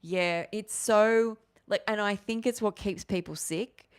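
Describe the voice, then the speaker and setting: A woman in her forties hosting a casual livestream, talking in a rambling, animated way with many restarts. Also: mid-range voice, measured pace, clear, resonant tone. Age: 40s. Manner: rambling, animated, restarts often, gestures with hands. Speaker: livestream host. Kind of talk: casual livestream chat answering viewers.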